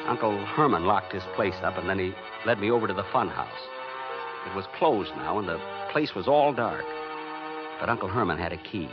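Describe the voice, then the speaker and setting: A man's voice: deep voice, expressive, normal speed. An actor playing a frantic everyman on the run, narrating a 1950s radio thriller with tense, dramatic phrasing. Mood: hushed, tense. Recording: dull old 1951 radio recording, loud music.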